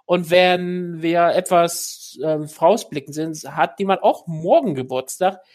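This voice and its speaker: Casting: male podcast host, a touch high-pitched, rambling, filler-heavy casual delivery. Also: measured pace, a little mellow. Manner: rambling, searching for words with many fillers. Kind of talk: casual chat about MMA news.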